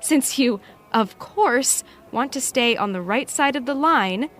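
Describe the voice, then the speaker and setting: A woman's voice: high-pitched, animated, measured pace, a bit bright, soft background music. A woman playing a shrewd, opinionated TV colleague with a pointed, sarcastic manner, in a naturalistic audio drama. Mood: pointed, sardonic.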